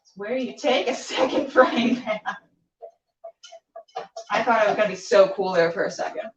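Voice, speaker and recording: A woman's voice: mid-range voice, moderate pace. A woman chatting casually and playfully to camera as a cooking show host, rambling and talking to herself as she goes. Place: indoors while cooking, live stream. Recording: roomy, distant, dull camera sound.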